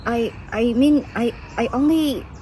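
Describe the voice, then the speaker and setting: A woman's voice: a little high, unhurried, warm tone, lightly acted. A woman playing a chatty, deferential working man in a stage play, rambling hesitantly. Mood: deferential, flustered. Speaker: stage actor. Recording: noisy recording with background ambience.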